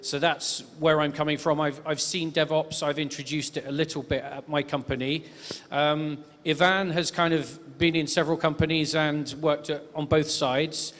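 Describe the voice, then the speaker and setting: Male moderator, slightly high-pitched, chatty and hedging, long hall reverb. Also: steady tone, normal speed, a bit bright. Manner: chatty, hedging, lots of fillers. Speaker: panel moderator. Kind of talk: moderating a tech conference panel. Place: conference stage.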